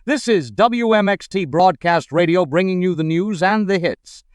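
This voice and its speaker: A man's voice: high-pitched, normal speed, no room sound, clean studio sound, natural voice. A man playing an upbeat 1940s radio host and newsreader, with a polished, formal old-time announcer delivery. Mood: smooth, welcoming.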